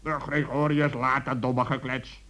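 An actor voicing an eager, bossy, teasing talking animal in a children's radio play. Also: male voice, medium pitch, level tone, unhurried, fairly bright tone, close to an everyday voice, rough recording. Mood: bossy, excited. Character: excitable, gleeful talking forest animal, mischievous.

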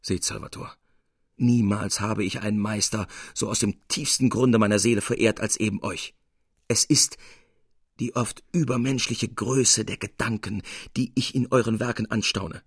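Man as fervent young surgeon, low and gravelly. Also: fairly even intonation, normal speed, no echo, studio quality. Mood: fervent admiration. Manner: fervent, reverent, formal old-fashioned speech. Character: earnest, adoring young surgeon who loves painting.

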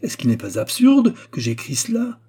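A man's voice: medium pitch, expressive, a little fast, dark tone, slightly rough, pitched above the performer's own voice. A man voicing a haughty, imperious queen in a storybook reading, scornful and cutting. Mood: indignant, scornful. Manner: imperious, scornful, mocking rhetorical questions.

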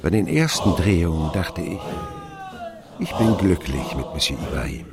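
An actor playing a grown man looking back on his boyhood, narrating a radio drama in a measured, reflective way. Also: deep voice, big pitch swings, gravelly, over a noisy crowd, exaggerated voice. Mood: moved, reflective.

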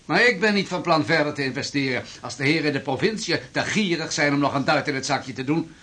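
An actor playing a stubborn, wealthy merchant in a period radio drama, speaking curtly and formally. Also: male voice, fairly high pitch, lively intonation, fast, bright, forceful tone. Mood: indignant. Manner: curt, obstinate.

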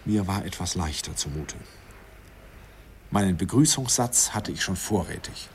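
Nostalgic guy narrating, really low voice, wistful n amused, faint noise behind, radio drama. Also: animated, a little slow. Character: nostalgic, smitten man recalling his youthful summer romance. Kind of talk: scripted radio play narration.

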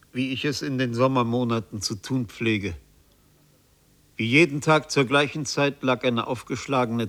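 An actor playing a haunted Parisian bookseller, narrating his fantastical ordeal in a literary, deliberate and intense radio play style. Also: medium pitch, a bit bright, lightly acted. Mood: resigned, reflective. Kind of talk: dramatic first-person radio play narration.

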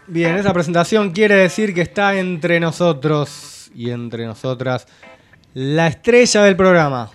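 A man's voice: on the high side, measured pace, soft background music. Dry Argentine man hosting radio. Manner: dry, hesitant, mock-suspicious. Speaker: radio host. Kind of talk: comic radio banter. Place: radio studio. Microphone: studio microphone.

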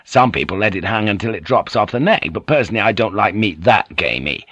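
A blunt, irritable European seafarer, as a male narrator plays him, full of firm opinions. His pitch moves around a lot, and the audiobook recording is close and dry with a dulled top end. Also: animated, brisk, a bit bright, dry recording, lo-fi sound. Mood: matter-of-fact.

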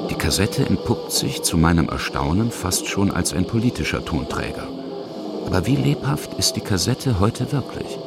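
Very deep-voiced male documentary narrator. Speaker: reporter and narrator. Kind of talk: scripted radio documentary narration.

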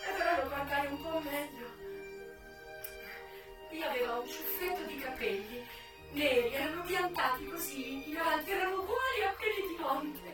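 An actress performs a young single mother who once sold herself, telling her life in a theatrical monologue. Her voice is high and emotional, moving between hushed confession and open wailing. It sounds distant in a heavily reverberant space, with music clearly behind it. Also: moderate pace, close to an everyday voice. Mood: shaken, wondering. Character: young American former prostitute, single mother, raw and defiant. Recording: distant, very echoey stage recording with music.

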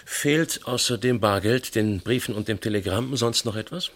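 Low-voiced, probing police inspector. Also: male voice, a bit bright. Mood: methodical, probing. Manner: measured, methodical questioning. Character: probing, dry, impatient male police inspector. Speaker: actor. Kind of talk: German radio crime drama acting.

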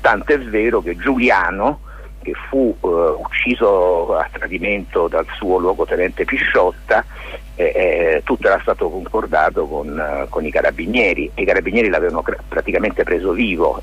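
A mature man speaks as a guest in a radio interview. His voice is deep and extremely husky, rough at the edges. He explains at length in an informal, discursive way, with many hesitations. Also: moderate pace, fairly bright tone, lo-fi sound. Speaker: lawyer and theatre maker, interview guest.

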